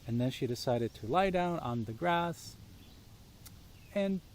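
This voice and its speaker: A man's voice: a little high, animated, takes it slowly, darkish tone, slight background noise. A man tells children's stories outdoors in a simple, inviting way, speaking directly to his young listeners. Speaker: storyteller reading for children. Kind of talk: children's storytelling.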